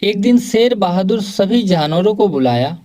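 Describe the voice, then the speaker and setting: A man's voice: high voice, lively intonation, moderate pace, dark tone. A man reading scripted narration for a children's moral story.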